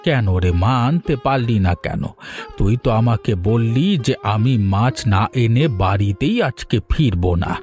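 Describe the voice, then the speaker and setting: A man's voice: medium pitch, animated, moderate pace, darkish tone, natural voice. A man voicing a middle-aged village father in a cartoon fairy tale, chiding and goading his daughters in a lecturing manner. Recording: music under the voice.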